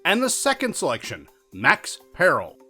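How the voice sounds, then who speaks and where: Animated, higher-pitched male announcer. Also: moderate pace, breathy edge. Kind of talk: scripted promotional announcement. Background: music under the voice.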